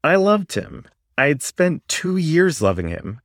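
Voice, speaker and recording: A man narrating a first-person story in a measured, expressive, dramatic way. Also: on the high side, no echo, studio quality. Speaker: audiobook-style story reader.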